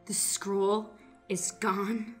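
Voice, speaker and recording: A woman's voice: mid-range voice, flat delivery, extremely slow, faint music behind. A woman playing a grim, vengeful swordswoman with a solemn, mock-archaic manner in an improvised radio play. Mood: wrathful. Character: Felicity, grim, proud, vengeful woman warrior.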